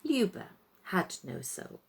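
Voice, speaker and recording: A woman reading a children's tale as a storyteller, in dramatic, expressive narration with deliberate phrasing and pauses.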